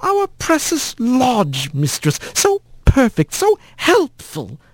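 Brief: man voicing a frosty old lady mouse, high and pinched, cutting and scornful, storybook villain. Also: huge pitch swings, slow, dry recording, heavily acted. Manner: cold, cutting and contemptuous. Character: sour, bitter old female mouse clan head.

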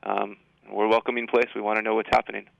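A man's voice: on the low side, level tone, fast talker, creaky, no room sound, muffled recording. A man giving informal, explanatory answers as a school administrator in a radio interview. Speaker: high school assistant principal, guest.

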